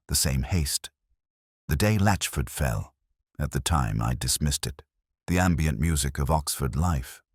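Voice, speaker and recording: Male actor as brooding older detective for audio drama narration; gravely low register with expressive swings, ruminative and measured. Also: dry recording, clean studio sound, exaggerated voice. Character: ageing, reflective, melancholy English police detective. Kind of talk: literary first-person detective monologue.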